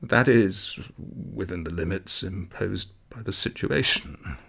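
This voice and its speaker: A man voicing a calm, evasive sanatorium doctor in a storybook reading, speaking formally and deliberately. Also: deep voice, creaky, slightly rough, exaggerated voice. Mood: calmly evasive.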